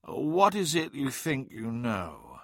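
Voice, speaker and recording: Male narrator as proud warrior sorcerer, tightly controlled, tense edge, medium pitch. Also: expressive, slow, pushed and bright, husky, no room sound, clean studio sound, exaggerated voice. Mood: tense, wounded. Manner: tense, restrained.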